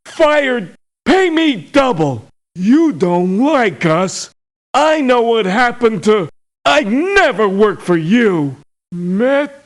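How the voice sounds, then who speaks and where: Video game mercenary, childlike female, touchy, extremely slow, halting, lively pitch. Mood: sulky, halting. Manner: simple, halting short phrases. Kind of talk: video game character voice lines.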